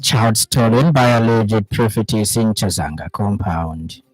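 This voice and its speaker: A man with a Zambian accent reading a scripted radio news bulletin in a formal, measured announcing manner. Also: fairly low pitch, music in the background, poor audio.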